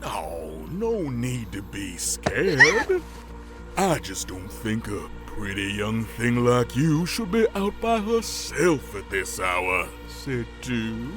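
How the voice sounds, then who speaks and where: A man's voice: medium pitch, huge pitch swings, slow, music in the background. A man playing a large, sleazy, predatory alligator bully in an audio drama, drawling and taunting with French phrases. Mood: sleazy menace.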